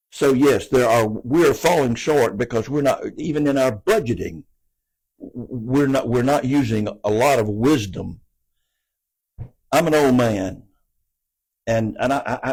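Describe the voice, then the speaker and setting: A man's voice: mid-range voice, a little mellow, slightly rough, low-quality recording. A man, answering interview questions in an earnest, folksy way and often repeating words as he goes. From American South. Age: 80s. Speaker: city council candidate.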